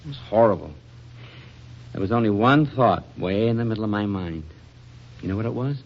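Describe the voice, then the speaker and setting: An actor playing an affectionate, teasing, excitable husband in a 1940s radio comedy, with a folksy manner. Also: male voice, fairly low pitch, a little fast, close to an everyday voice. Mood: shaken, confiding. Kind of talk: 1940s radio drama acting. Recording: dull vintage radio drama recording.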